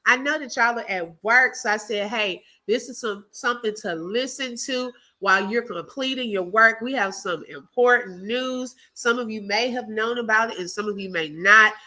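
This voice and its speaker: A woman's voice: a little high, moderate pace, hardly any pauses, bright, forceful tone. A woman with a Texas accent hosting a casual live stream, chatting with viewers, reading out their comments and laughing often. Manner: chatty and laughing, calls viewers baby and girl.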